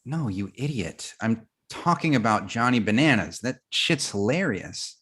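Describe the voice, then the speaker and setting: A man's voice: mid-range voice, animated, unhurried, a bit bright, slightly rough. A man reading an arrogant, smug male TV actor in a comedy script, in a casual way. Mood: casual, persuasive. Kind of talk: comedy script table read.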